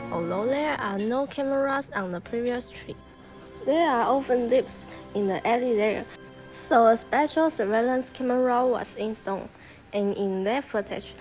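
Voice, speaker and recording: A young woman acts as an earnest female detective's partner in a student radio play. She speaks Taiwanese-accented English in a fairly high voice, with music playing behind her. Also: a little mellow, rough recording. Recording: voice over background music.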